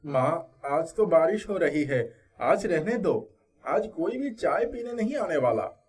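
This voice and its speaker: A man's voice: fairly high pitch, very animated, slow pace, a little mellow. A man playing a reluctant but helpful young son in a cartoon moral story. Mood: reluctant, sleepy. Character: reluctant sleepy young son helping his mother. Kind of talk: animated Hindi moral story acting.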